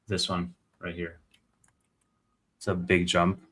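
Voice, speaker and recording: A man's voice: deep voice, monotone, slow, a bit bright, husky. A man hosting a casual live commentary, conversational and thinking aloud as he reads out figures. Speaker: live stream host.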